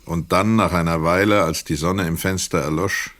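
A man narrating a scripted literary radio play in a measured, sober way. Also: deep voice, steady tone, a bit bright.

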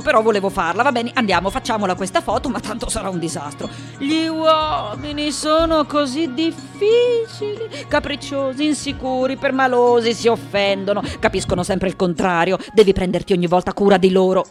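An actress playing an elderly woman sculptor in a theatrical radio monologue, candid, restless and vulnerable, confiding with emphatic repetitions and dramatic pauses. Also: mid-range voice, lightly acted. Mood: wry, exasperated.

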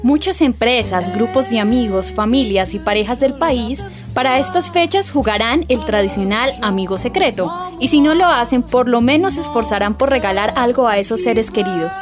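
Colombian female radio presenter, scripted narration, higher-pitched voice. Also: lively intonation, normal speed, few pauses, music in the background.

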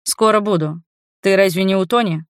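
A woman's voice: medium pitch, moderate pace, clean tone, no room sound, lightly acted. Woman reading as a curt, jaded man, close and dry. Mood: concerned. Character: gruff, jaded, blunt adult man, commitment-shy lover. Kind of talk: audiobook character voice.

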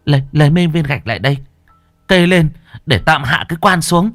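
Man acting bossy old village woman, pitched up. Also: animated, a little fast, vocal fry, faint music behind. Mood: commanding. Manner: scolding, commanding, shouting orders.

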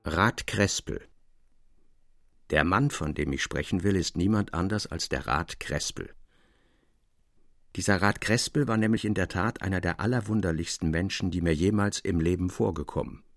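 Deep, creaky gentleman storyteller. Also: normal speed, no room sound, clean studio sound. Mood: composed. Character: composed gentleman storyteller recalling an eccentric acquaintance. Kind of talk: scripted first-person storytelling.

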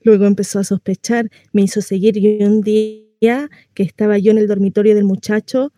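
Mellow, chatty housewife played by a woman, confiding. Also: medium pitch, fairly even intonation, moderate pace, no room sound, studio quality, natural voice. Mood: breathless recounting. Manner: confiding, rambling, conversational storytelling with rhetorical questions. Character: housewife shut in at home, chatty, naive, confiding in a neighbour. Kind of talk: dramatic monologue on radio.

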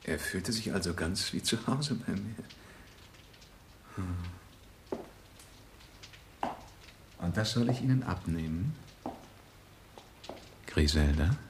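An actor in a German radio play, playing a suave, flirtatious bachelor who talks with mocking politeness. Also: male voice, low voice, expressive, a little slow, pauses often, a little hiss or hum. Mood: sardonic. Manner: smooth politeness with mocking edge. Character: suave, sardonic bachelor charmer, flirtatious and probing.

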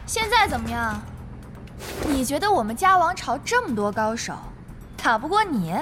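A very high, animated young female guild boss speaks Mainland Mandarin in audio-drama style. She is haughty and vengeful, ordering and threatening as an online game villain, with music clearly behind her. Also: normal speed. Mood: menacing, taunting. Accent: Mainland Chinese Mandarin.

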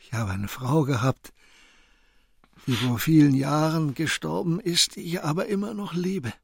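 An older actor playing a serene, wise old shopkeeper in a radio drama, breathy, tender and philosophical. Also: male voice, a little high, measured pace, dry recording, studio quality, heavily acted. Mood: serene, weakened. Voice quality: husky, breathy.

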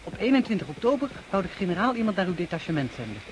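An eager, excitable young officer in a radio play. He stammers breathlessly and his pitch jumps around with enthusiasm. A faint layer of noise sits on the old recording. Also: rapid-fire. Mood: earnest, hesitant. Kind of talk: Dutch radio drama acting.

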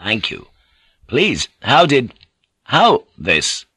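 A man voicing a hearty, plain-spoken foreign male guest who talks in simple, halting phrases. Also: mid-range voice, huge pitch swings, bright, forceful tone, slightly rough, no room sound, clean studio sound, exaggerated voice.

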